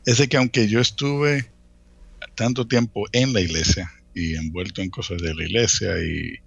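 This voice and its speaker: A man in his late thirties with a Dominican accent, telling his own story casually as an interview guest, often pausing and repeating words. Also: on the low side, steady tone, creaky, a bit bright, breathy edge, dry recording, highs cut off. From Dominican Republic. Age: late 30s. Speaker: interview guest, church ministry worker.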